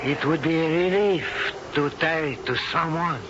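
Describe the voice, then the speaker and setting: A man's voice: a little high, background noise, natural voice. A man playing a brooding nobleman. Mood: confessional. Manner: impassioned, slow and heavy. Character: brooding older Spanish nobleman, widower. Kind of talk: 1970s radio drama acting. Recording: dull old radio broadcast with music.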